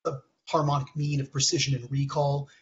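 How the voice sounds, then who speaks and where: A man in his thirties, hoarse from a cold, presenting research slides over a video call in a halting way, with many ums and restarts. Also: medium pitch, flat delivery, slight background noise. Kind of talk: technical conference talk. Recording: dull lo-fi call audio.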